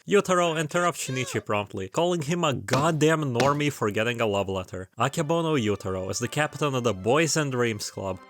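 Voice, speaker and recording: Wry man narrating a story recap over music. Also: mid-range voice. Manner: wry, irreverent, slangy. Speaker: video essayist. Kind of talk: casual scripted video narration with joking asides.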